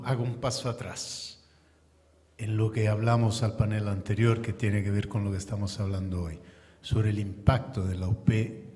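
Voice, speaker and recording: A man's voice: on the low side, mellow. A man, an audience member winding his way toward a question. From Italy. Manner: discursive, building up to his question. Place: lecture hall. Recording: long reverb in a hall.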